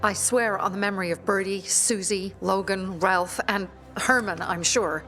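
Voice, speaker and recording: A woman's voice: medium pitch, steady tone, normal speed, bright, forceful tone, soft background music, natural voice. An actress playing a stern, embittered young female rebel leader in a video game, firm and earnest. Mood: earnest, persuading. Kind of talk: video game dialogue acting.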